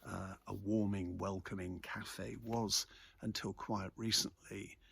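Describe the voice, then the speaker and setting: Local vlogger: older male, low register, unhurried and hesitant, reflective casual chat with a husky edge. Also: fairly even intonation, fairly bright tone. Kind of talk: casual monologue about local events. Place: outdoors in a quiet churchyard.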